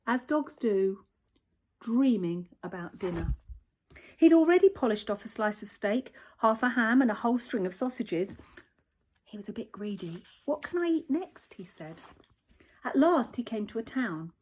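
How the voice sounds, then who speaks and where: A primary school teacher reading a children's story aloud at home, expressive, dropping to whispers for the suspenseful parts. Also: female voice, fairly high pitch, unhurried, poor audio.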